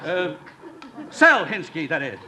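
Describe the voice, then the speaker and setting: An actor playing a pompous, indignant college official who sputters and stammers, in 1950s radio sitcom style. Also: male voice, fairly high pitch, sing-song, slow, pushed and bright, a faint crowd, a little hiss or hum, exaggerated voice. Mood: blustering, defeated. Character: blustering, indignant male college official, self-important and flustered.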